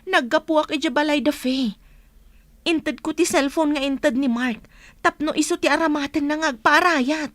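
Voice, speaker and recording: High-voiced nervous loyal helper. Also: female voice, normal speed, a bit bright, dry recording. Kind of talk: Ilocano radio drama acting.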